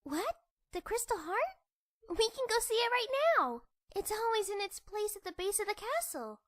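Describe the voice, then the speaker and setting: Very high, eager little pony filly. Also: female voice, normal speed, full character voice. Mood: dreamy. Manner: excitable, earnest. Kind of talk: cartoon audio drama acting.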